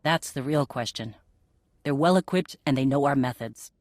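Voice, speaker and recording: A woman's voice: rumbling low, moderate pace, a little mellow, no room sound, clean studio sound. An actress playing a tough, blunt woman who leads a band of warriors in a video game. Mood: gruff, wary. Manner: blunt, commanding.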